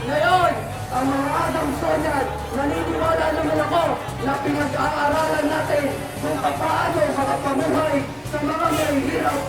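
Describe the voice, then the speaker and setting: A young woman with a Filipino accent giving an emphatic, impassioned protest speech as a student speaker, appealing directly to her listeners. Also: sits high. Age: young adult. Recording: echoey outdoor rally, distant, crowd noise, music.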